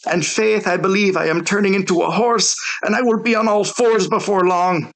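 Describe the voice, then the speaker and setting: A man's voice: high voice, normal speed, fairly bright tone, pitched above the performer's own voice. A man voicing a big infantryman, earnestly complaining in a comic way. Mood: plaintive. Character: six-foot Irish infantryman, respectful but comically complaining.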